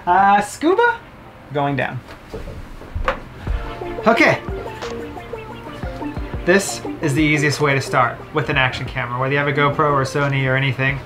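A man's voice: fairly high pitch, a bit bright. A man in his thirties casually reviewing camera gear to the camera, chatty and upbeat, explaining as he handles each item in a boat cabin. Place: cabin of a sailboat, indoors.

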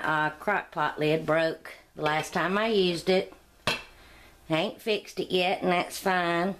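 A middle-aged woman, chatting homily through a cooking demonstration in her home kitchen. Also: low-pitched. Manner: chatty, homey, rambling asides. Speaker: cooking channel host. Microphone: camera mic, speaking off camera.

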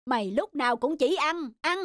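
A man's voice: very high voice, lively intonation, moderate pace, fairly bright tone, no room sound, studio quality. A man voicing a huge, greedy mountain demon, the domineering older brother in a cartoon. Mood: scolding. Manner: domineering, scolding. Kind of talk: cartoon voice acting. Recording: background music under the dialogue.

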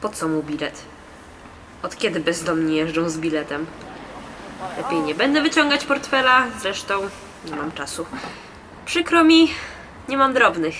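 A woman's voice: on the low side, lively intonation, measured pace, pauses often. A woman playing an aloof, cynical commuter in a cold, dismissive radio drama monologue. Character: aloof, cynical female commuter keeping her distance. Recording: noticeable ambient background noise.

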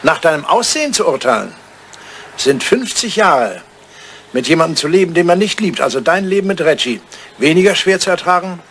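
Radio play casting: a lonely old aristocrat, wistful and insistent, fairly high-pitched, on a slightly noisy vintage recording. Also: male voice, fairly bright tone. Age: older. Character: wistful old English lord, lonely lovelorn bachelor writer. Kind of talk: German radio drama acting, drawing-room dialogue.

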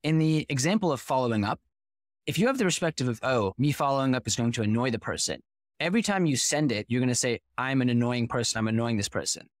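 A man in his thirties talks through his story with an articulate, animated flow. His voice sits at an average pitch and is picked up close on a headset mic. The small curtained room sounds completely dry. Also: fast talker, no room sound. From United States. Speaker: startup founder, guest. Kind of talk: podcast interview over video call.

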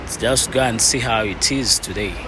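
A man's voice: on the low side, moderate pace, very noisy. A young man from Rwanda, chatting casually as a vlogger while filming himself on a phone in city streets. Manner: relaxed, chatty, mixes English with simple Mandarin. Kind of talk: casual vlog narration and street chat. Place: city streets, a drink shop and a plaza at night. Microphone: phone at arm's length.

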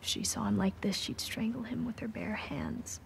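Gentle teenage girl for a game drama; breathy near-whisper, halting, worried. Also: mid-range voice, sing-song. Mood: sombre, regretful. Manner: hushed, halting, soft. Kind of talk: naturalistic video game cutscene acting.

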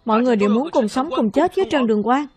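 A woman reading all the characters' dialogue in a dub of a children's animated fantasy. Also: on the high side, racing, a crowd in the background. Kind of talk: dubbed narration of a children's animated fantasy, voicing every character. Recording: music playing behind the voice. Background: music.

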